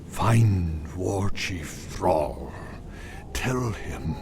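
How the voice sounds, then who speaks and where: A dying old orc warrior whose deep, rough voice drags out each word very slowly. He sounds weak and heavy with shame, in a game cutscene with loud orchestral music behind. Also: animated, background noise, full character voice. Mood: dying regret. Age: older.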